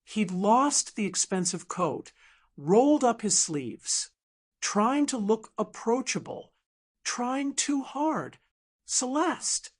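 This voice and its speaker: A woman's voice: fairly high pitch, very animated, slow pace. A woman narrating a scripted dramatic audiobook story.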